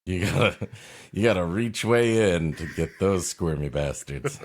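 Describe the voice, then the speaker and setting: A male comedy podcast co-host who cuts in with rambling jokes. His voice sits very low with a gravelly rasp, and his pitch still moves around a lot. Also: lively intonation, unhurried. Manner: rambling, jokey interruptions.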